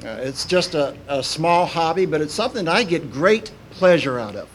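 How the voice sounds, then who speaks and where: A man in his forties, raised in Kentucky, opening a sermon as a visiting minister in a halting, informal way full of "uh" and restarts. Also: on the high side, expressive, a little hiss or hum. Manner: halting, many "uh" fillers and restarts.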